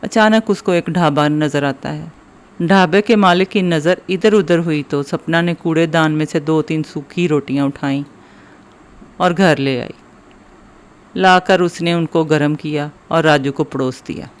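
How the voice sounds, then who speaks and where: A man's voice: on the high side, a little slow, clear, resonant tone. A man narrating a scripted moral story for children.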